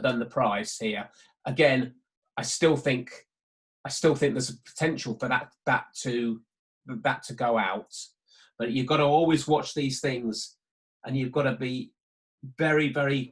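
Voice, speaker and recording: Male trading tutor, mid-pitched voice, hesitant and repetitive, talking through live markets on an online call. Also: low-quality recording. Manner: hesitant, repetitive, thinking aloud. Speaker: sports betting trading tutor. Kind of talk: live market walkthrough commentary.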